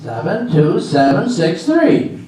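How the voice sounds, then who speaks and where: A man playing a grumpy, forgetful husband in a comic readers theater, halting and exasperated as he grumbles. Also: sits high, huge pitch swings, dark tone, full character voice. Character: grumpy, befuddled, forgetful husband fighting phone menus. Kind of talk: readers theater comedy acting. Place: live readers theater stage. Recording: echoey hall, distant mic, noisy.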